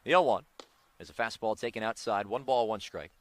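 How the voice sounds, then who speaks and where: An American man doing live play-by-play baseball commentary on the radio, with excited calls on big plays. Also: fairly low pitch, a little fast, no room sound.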